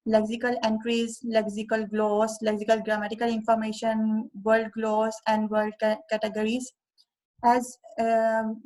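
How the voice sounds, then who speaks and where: Young Urdu-speaking woman, fairly high voice and flat delivery; walks through a software demo step by step. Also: rough recording. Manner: slow, careful step-by-step explaining. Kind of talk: screen-recorded software tutorial.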